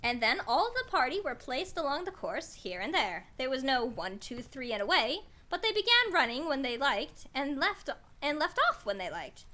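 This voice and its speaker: A woman with a high, lively voice reads a children's story to a live stream. Her tone is bright and swings freely in pitch. She is chatty and playful, slipping into casual asides and gently poking fun at herself. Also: normal speed, hardly any pauses. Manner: chatty, playful, self-deprecating, mild exclamations.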